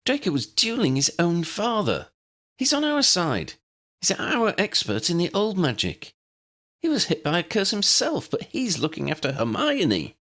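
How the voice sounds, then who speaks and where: A man's voice: sits high, wildly swooping pitch, measured pace, a bit bright, pitched above the performer's own voice, heavily acted. A man voicing a feisty, loyal young witch in an audiobook, firm and chiding. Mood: scolding.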